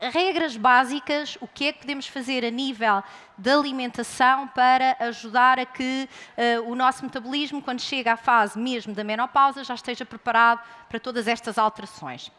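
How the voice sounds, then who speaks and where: A woman's voice: high-pitched, fairly even intonation, fairly quick, bright, forceful tone. A woman moderating a panel, articulate and asking long questions. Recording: hall reverb.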